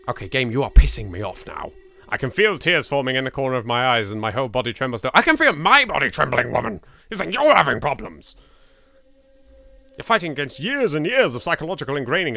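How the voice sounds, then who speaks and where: Animated, bright-voiced English man doing game commentary. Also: medium pitch, creaky. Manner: theatrical, exasperated, sweary. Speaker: gaming video host. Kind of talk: let's play commentary with dramatic reading aloud.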